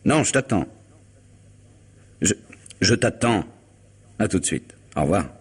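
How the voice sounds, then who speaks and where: Smooth ironic lawyer in a radio play, deep voice, really swoopy intonation, unflappable. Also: male voice, very animated, unhurried, background noise. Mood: urbane, attentive. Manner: wry, urbane, unruffled, teasing. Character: suave, ironic middle-aged male lawyer, coolly self-possessed. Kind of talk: French radio mystery drama acting.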